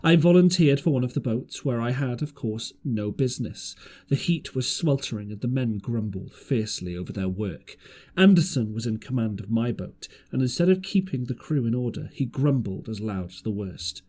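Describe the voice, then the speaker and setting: Male amateur audiobook reader, storybook narration, highly varied intonation, mid-range pitch, occasional stumbles. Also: lively intonation, moderate pace, dark tone. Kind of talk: scripted storybook narration of an adventure novel.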